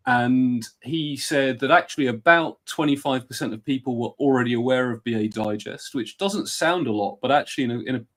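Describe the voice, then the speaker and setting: A man talks with a husky, cold-worn voice. He rambles enthusiastically through casual conversation with plenty of fillers. His pitch sits in an ordinary middle range. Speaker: podcast guest.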